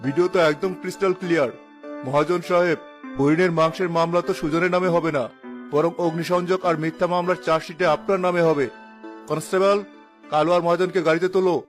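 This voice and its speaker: A man's voice: fairly high pitch, steady tone, fast, faint music behind, lightly acted. A man playing a stern village police inspector in a cartoon, speaking in a formal, authoritative way. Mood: firm. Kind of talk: animated cartoon dialogue.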